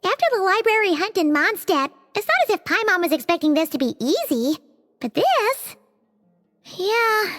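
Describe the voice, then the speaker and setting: A tiny fairy-like companion girl with a very high, squeaky voice that leaps all over. She is chirpy, quick and nosy. The game audio has soft music playing underneath. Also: very animated, heavily acted. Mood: puzzled. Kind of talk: video game dialogue.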